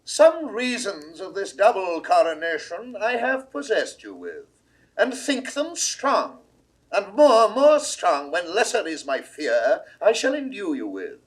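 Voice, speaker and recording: Guilty proud king, old shakespeare audio play, slow and grand, very up and down. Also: sits high, huge pitch swings, breathy edge, heavily acted, rough recording. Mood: regal, measured. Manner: stately, declamatory, drawn-out theatrical delivery. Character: proud, guilty English king, uneasy and imperious. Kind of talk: 1960s classical Shakespeare audio drama acting.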